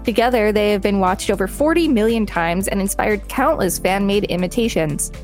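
A woman co-hosting a podcast, reading an article aloud in a steady, matter-of-fact way. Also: mid-range voice, moderate pace, faint music behind. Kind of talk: casual read-aloud.

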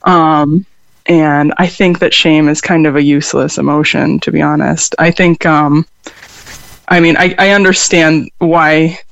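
A woman's voice: deep voice, steady tone, measured pace, vocal fry, dry recording, highs cut off. Woman, American accent, songwriter guest, hesitant, many fillers, dry recording. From upstate New York, raised in Vermont. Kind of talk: interview conversation.

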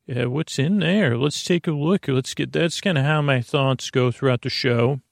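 A man with a creaky voice hosting a sleep podcast, lulling and soothing as he meanders haltingly through a rambling bedtime monologue. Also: medium pitch, no echo, studio quality. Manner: meandering, halting, repeats and drifts off topic. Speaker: podcast host.

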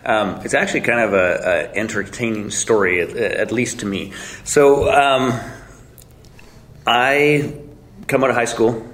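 A man's voice: mid-range voice, a little slow, a bit bright. Blunt, self-mocking man telling a story. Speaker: guest. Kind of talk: casual storytelling.

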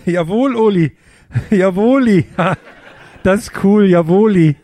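A man's voice: high voice, slow pace, dark tone, dry recording. A man playing a cheerful, goofy boy detective in a comic radio play. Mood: eager, silly.

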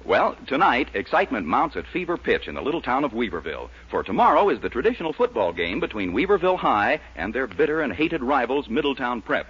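A man's voice: mid-range voice, very animated, fast talker, few pauses, fairly bright tone, slightly rough. A man announcing and reading ads in a punchy 1940s radio showman style. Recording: dull, lo-fi 1940s radio recording.

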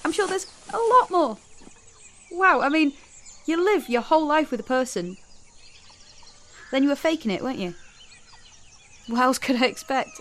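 An actress playing a young, rebellious daughter in an audio drama, speaking with sarcasm and raw emotion. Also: female voice, high voice, animated, normal speed, long pauses, fairly bright tone, slight background noise. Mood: bitter, sarcastic.

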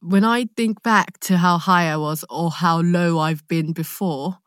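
Lower-pitched London woman, candid and close-up. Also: unhurried, no echo. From London, England. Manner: candid, expressive, slangy, sweary. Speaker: podcast guest, actor. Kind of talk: casual chat, animated storytelling.

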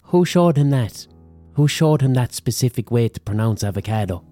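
A man's voice: medium pitch, normal speed. Middle-aged Limerick man, comic podcast host, swears freely, slips into put-on accents, faint music behind. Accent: Limerick, Irish. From Ireland. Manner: sweary, animated storytelling with mock accents. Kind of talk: comic monologue.

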